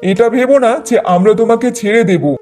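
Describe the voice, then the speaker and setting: A man's voice: high voice, moderate pace, a little mellow, faint music behind, close to an everyday voice. A man voicing a protective older village father in a cartoon, firm and admonishing. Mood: stern. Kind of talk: Bengali moral-story cartoon dubbing.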